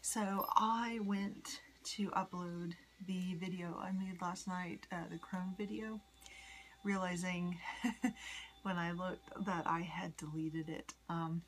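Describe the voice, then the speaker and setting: A woman in her forties from the United States, rambling in a personal vlog with many ums and soft whispery asides. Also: lowish voice, steady tone, slow. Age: 40s. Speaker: vlogger. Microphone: phone.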